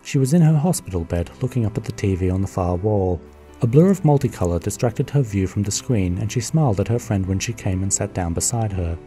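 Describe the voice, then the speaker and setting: A man giving steady, scripted narration for a fan radio play. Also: fairly low pitch, a little fast, faint music behind.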